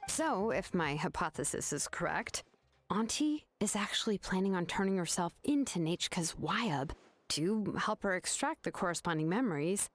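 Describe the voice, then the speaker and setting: Actress as a young woman researcher, game dialogue, composed, articulate, principled, firm, middle-pitched. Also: close to an everyday voice.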